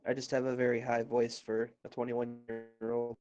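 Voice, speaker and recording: A man's voice: mid-range voice, monotone, moderate pace, mellow, highs cut off, faint music behind. A man chatting casually online in a blunt, sweary, exasperated way. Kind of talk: casual voice-chat banter.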